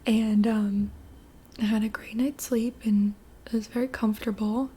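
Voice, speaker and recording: A young woman whispers an ASMR roleplay story, slow and gentle. Her voice is mellow and breathy, fairly high, with relaxed, casual fillers.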